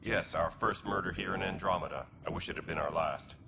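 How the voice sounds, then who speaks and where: Casting: a pragmatic alien militia leader in a game, deep and gravelly, clipped matter-of-fact soldier. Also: male voice, brisk. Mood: stern, dismissive. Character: gruff alien male militia commander, dutiful and pragmatic. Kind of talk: video game cutscene acting. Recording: loud background noise.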